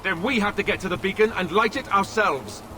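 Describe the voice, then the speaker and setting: An actor playing a resolute young warrior companion in a fantasy video game. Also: male voice, high-pitched, animated, a little fast, noisy background. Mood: urgent, determined.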